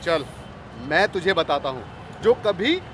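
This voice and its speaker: A chummy petrol pump worker in a training film, baffled and scolding. His voice is high for a man and his delivery is unhurried, questioning and familiar. There is faint noise behind him. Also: sing-song, fairly bright tone, full character voice. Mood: exasperated, chiding. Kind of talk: training film dialogue acting.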